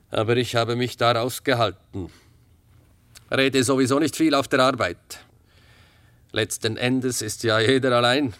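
A man's voice: medium pitch, fairly bright tone, dry recording, lightly acted. A man acting in a radio drama as a secretive, brooding working man who talks tersely and insistently in colloquial words.

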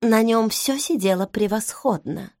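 A woman reading a novel aloud in the first person, measured and intimate, like inner monologue. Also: a little high, dark tone, dry recording. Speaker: audiobook narrator. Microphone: close studio mic. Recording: dry studio recording.

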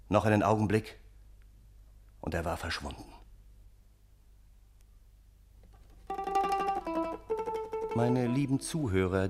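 An actor playing an earnest gentleman friend who recounts an absurd calamity in a formal, theatrical radio-play style with dramatic pauses. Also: fairly low pitch, lightly acted. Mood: hushed, grave. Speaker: narrator and character in a radio play.

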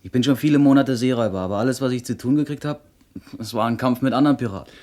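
A man's voice: mid-range voice, rapid-fire, a little mellow, natural voice. An actor playing a cocky, hot-headed young Irish cabin boy in a radio play, brash and eager. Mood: cocky, scornful.

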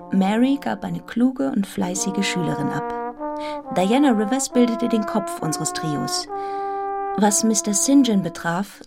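A woman acts a thoughtful young governess recounting her life in a radio drama. Her mid-range voice is measured and observant, with brass music clearly playing behind it. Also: medium pitch, lightly acted. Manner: measured, reflective. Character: observant, reflective young governess telling her own story. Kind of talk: literary first-person narration in a radio play.

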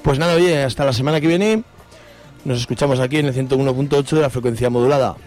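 A man's voice: mid-range voice, fast talker, darkish tone. A man with a Spanish accent from Spain co-hosting a radio show, giving concert listings in an informal, chatty, colloquial way. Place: radio studio. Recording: music playing behind.

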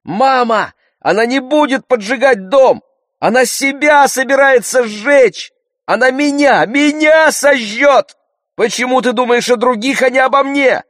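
A frantic girl from an audiobook, as a man reads her. She is shrill and loud, brash yet cowardly, screaming and pleading in a voice thrown far upward. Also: a little slow, dry recording, clean studio sound. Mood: panicked. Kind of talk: audiobook character voice.

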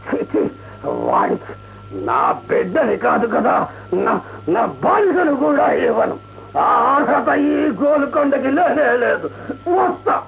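An actor in a radio play playing a proud, short-tempered old Persian emperor who declaims with indignant pauses. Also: male voice, extremely high pitch, very animated, creaky, heavily acted, poor audio. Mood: outraged. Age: older. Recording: radio drama with music.

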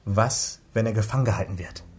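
An actor playing an earnest, brooding police investigator in a German radio crime drama, speaking thoughtfully and insistently. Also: male voice, fairly low pitch, a little fast, slight background noise, close to an everyday voice. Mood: brooding, intent.

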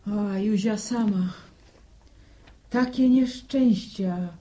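Slow, fretful ailing village mother. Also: mid-range voice, warm tone. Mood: lamenting. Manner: lamenting, pious sighing, weary. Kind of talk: radio drama dialogue.

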